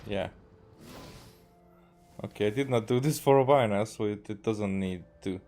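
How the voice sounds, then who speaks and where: A man from Hungary with a Hungarian accent gives casual, halting gameplay commentary, half to himself as he streams. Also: lowish voice, mellow. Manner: halting, muttering to himself while playing. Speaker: game streamer. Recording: game music behind. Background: video game music.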